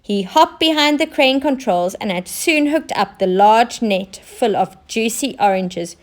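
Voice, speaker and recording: Slow, expressive woman reading a children's story. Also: on the high side. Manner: expressive, deliberate storytelling with emphasis. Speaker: teacher reading stories aloud.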